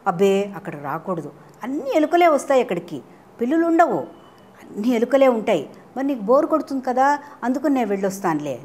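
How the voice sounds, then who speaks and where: A woman's voice: on the high side, sing-song, brisk, a little mellow, pitched above the performer's own voice. A woman storyteller voicing a sly, greedy little mouse in a children's tale, coaxing and chatty. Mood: breezy, evasive.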